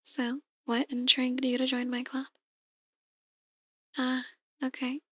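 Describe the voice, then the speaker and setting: Woman acting as a gently ominous demon fortune teller, breathy near-whisper, even pitch, slow, ASMR roleplay. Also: exaggerated voice. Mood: calm, inviting. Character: soft-spoken, ominous demon woman reading tarot cards. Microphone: close, dry microphone.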